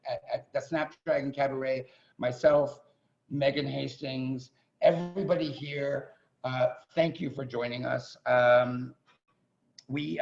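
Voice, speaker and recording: A man hosting a show with an informal welcome speech, halting and often repeating his words. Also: mid-range voice, vocal fry. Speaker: host introducing a theatre show. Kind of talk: informal welcome speech with thanks and shout-outs. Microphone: video call. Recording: muffled video call audio.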